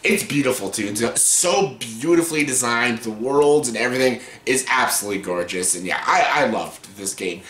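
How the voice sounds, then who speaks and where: A man in his late twenties with a scratchy yet bright, forceful voice, talking loosely and profanely through a casual countdown. His delivery is rambling and full of fillers. The room around him gives a noticeable echo. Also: mid-range voice, lively intonation, normal speed, few pauses. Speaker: vlogger. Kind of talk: casual top-ten countdown. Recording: roomy echo.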